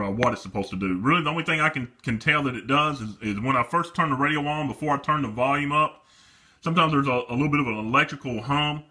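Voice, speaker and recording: A man's voice: mid-range voice, pushed and bright. A man giving a casual, plain-spoken and somewhat hesitant demonstration of a product. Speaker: presenter demonstrating a radio for sale.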